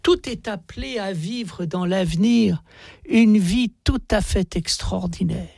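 A man hosting a radio programme, speaking in deliberate, emphatic and articulate phrases. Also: high voice, vocal fry, a little mellow, no echo, clean studio sound. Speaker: radio host. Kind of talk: radio monologue and interview.

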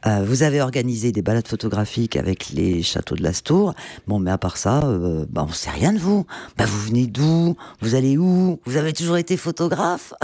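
A man's voice: medium pitch, sing-song, a little fast, mellow, no room sound. A man hosting a radio-style show, interviewing a guest in a conversational way. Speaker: radio or podcast host.

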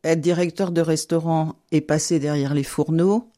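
Radio host guy, bit higher voice, dry close sound, moves things along. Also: fairly even intonation, mellow, no echo. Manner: brisk, guiding questions. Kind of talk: radio interview.

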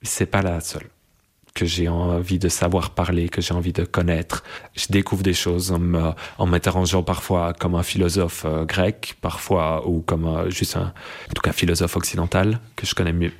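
Low-voiced thoughtful young man. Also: flat delivery. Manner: thoughtful, searching, many pauses and restarts. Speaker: interviewee. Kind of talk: reflective conversation.

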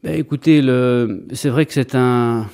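A man's voice: medium pitch, measured pace, darkish tone, clear, resonant tone. A man in his thirties, an Orthodox priest from Greece raised in Belgium, answering interview questions hesitantly with frequent fillers and restarts. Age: 30s.

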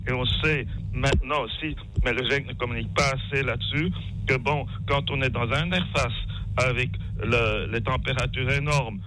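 Rambling male radio caller, bright voice. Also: medium pitch, fairly even intonation, low-quality recording. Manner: rambling, halting. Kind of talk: phone-in call.

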